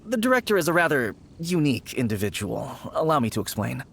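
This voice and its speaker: A man's voice: medium pitch, big pitch swings, fast talker, vocal fry, fairly bright tone, slight background noise. A man voicing a composed, refined consultant in a video game, speaking in a courteous, formal, explanatory way. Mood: calm, courteous.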